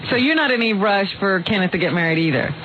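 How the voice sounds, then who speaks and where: A radio co-host jumping in with quick teasing questions and remarks. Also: medium pitch, animated, background noise. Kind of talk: radio talk show banter. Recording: tinny radio broadcast.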